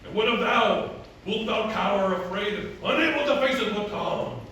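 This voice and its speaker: A brooding masked avenger who declaims in grand mock-Shakespearean phrases with a rough, beastly growl. The voice is forceful and rings through a very echoey space, away from the mic. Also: male voice, high voice, expressive, normal speed, full character voice. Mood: grandly resolute. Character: brooding masked male vigilante hero, grandly strong and scary. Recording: roomy echo, distant mic, faint noise.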